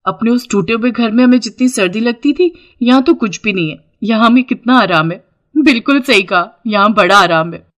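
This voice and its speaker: A woman voicing poor, resourceful young village daughters-in-law in an animated moral story, expressive and full of exclamations. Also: a little high, moderate pace. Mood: content.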